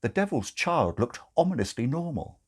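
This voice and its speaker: A man reading a comic story as narrator, in a measured, dramatic and slightly arch way. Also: mid-range voice, sing-song, slightly rough. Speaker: narrator, audiobook reader.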